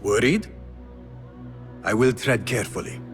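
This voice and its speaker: An actor playing a determined, composed young male assassin in a video game cutscene, speaking calmly and deliberately, with some guardedness. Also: medium pitch, soft background music, natural voice. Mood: resolute.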